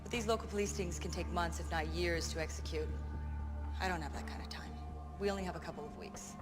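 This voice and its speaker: A woman's voice: lowish voice, steady tone, fast talker, music in the background, close to an everyday voice. An actress playing a stern, controlled female FBI handler in a video game, clipped and authoritative. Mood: brisk, authoritative. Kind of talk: video game cutscene acting.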